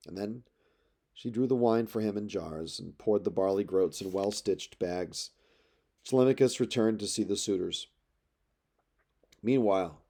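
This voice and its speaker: Mellow, low-voiced male storyteller. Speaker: audiobook narrator. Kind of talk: dramatic literary read-aloud of epic poetry.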